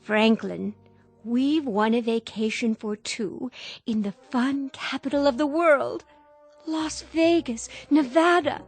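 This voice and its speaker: An actress playing a sweet, eager, long-suffering older wife in a radio drama, solicitous and earnestly pleading. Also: female voice, a little high, takes it slowly, husky, faint music behind, heavily acted. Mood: earnest, excited.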